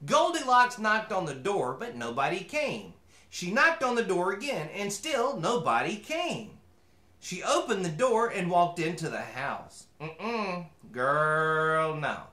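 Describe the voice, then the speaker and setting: A man's voice: sits high, big pitch swings, slow pace, bright, forceful tone, echoey room, full character voice. A man voicing a chatty, opinionated mule who reads a storybook with folksy, disapproving asides. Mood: storytelling. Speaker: host reading a storybook. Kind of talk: animated storybook reading with comic commentary.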